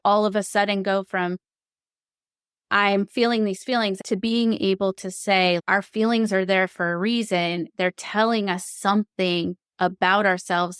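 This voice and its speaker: A woman's voice: medium pitch, clear, resonant tone, no echo, studio quality. A woman hosting a podcast, affirming and empathetic as she asks her guest open questions. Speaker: podcast host. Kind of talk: conversational interview.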